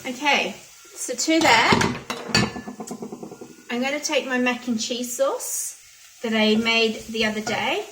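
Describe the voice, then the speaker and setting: A hoarse woman chatting through a cooking demonstration in her home kitchen, explaining each step plainly. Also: on the high side, slow, pauses often, background noise. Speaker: home cooking channel host. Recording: roomy kitchen audio from a distance.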